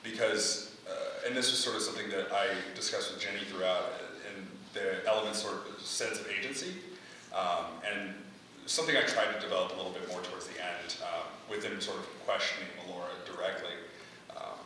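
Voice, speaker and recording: Guy running a q&a, lowish voice, kinda hesitant, super echoey and far from the mic. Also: steady tone, brisk, pushed and bright. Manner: hesitant, groping for words. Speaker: playwright leading a feedback discussion. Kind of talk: informal question-and-answer discussion. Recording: very echoey room, distant mic, faint noise.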